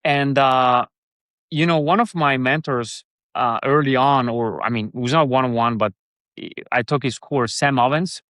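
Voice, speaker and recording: A man's voice: medium pitch, measured pace, a bit bright, no echo. Swiss-accented man on a dry mic. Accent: Swiss German. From Switzerland. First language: Swiss German. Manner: rambling, many restarts and fillers. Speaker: guest. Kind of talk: casual interview chat.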